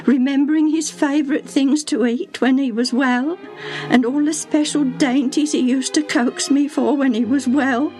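A woman's voice: sits high, slow pace, a little mellow, soft background music, pitched above the performer's own voice. A woman voicing a faithful, grieving servant woman in a storybook reading, sobbing and lamenting in a folksy way. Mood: heartbroken. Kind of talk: dramatised Bible storybook reading.

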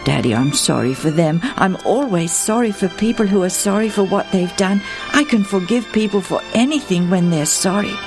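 A woman's voice: medium pitch, expressive, moderate pace, few pauses, music in the background, voice pushed up, lightly acted. A woman voicing a young, devoted daughter who speaks with eager, tender affection. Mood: earnest.

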